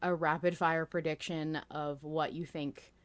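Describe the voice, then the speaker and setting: A woman with a slightly low voice hosts a casual fan discussion. She speaks emphatically and excitably, with swearing mixed freely into her chat. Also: unhurried. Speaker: podcast host.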